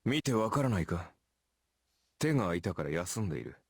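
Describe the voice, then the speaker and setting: A man playing a calm, sardonic warrior in a Japanese anime visual novel, with a husky voice. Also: sits low, rapid-fire, no echo, clean studio sound, full character voice. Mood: dry, calm.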